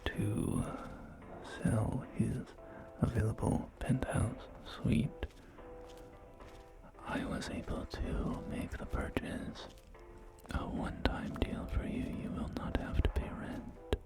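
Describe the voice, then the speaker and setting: A man's voice: lowish voice, little pitch movement, slow pace, gravelly. A man performing a whispered ASMR roleplay as a courteous real estate agent, speaking in polite, hesitant sales patter, with music behind him. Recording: whisper under loud background music. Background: music and game audio.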